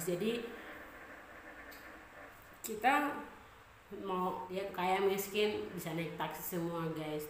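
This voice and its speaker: A woman from Papua with a Papuan Indonesian accent, chatting casually to her channel's viewers, with many fillers and repeated phrases. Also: lowish voice. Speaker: vlogger. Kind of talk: casual vlog talk to camera. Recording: echoey room with clear background noise.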